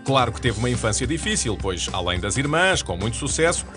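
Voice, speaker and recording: A man with a European Portuguese accent reading scripted narration for a TV channel promo in a smooth, even announcer delivery. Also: mid-range voice, a little fast. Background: music bed.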